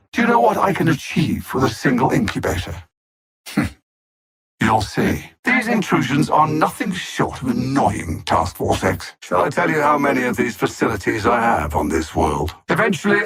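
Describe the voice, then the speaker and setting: A man's voice: mid-range voice, creaky, a bit bright, slightly rough, heavily acted. A man playing a pompous alien machine-intellect conqueror in a video game, coldly sardonic and formal, with theatrical menace. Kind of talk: scripted video game villain dialogue.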